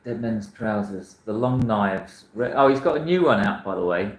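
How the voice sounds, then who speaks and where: South london guy rambling about books, restarts a lot, kinda low voice, roomy echo. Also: lively intonation, moderate pace. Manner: rambling, self-correcting, frank. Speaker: book channel host. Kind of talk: casual chat reading viewer comments.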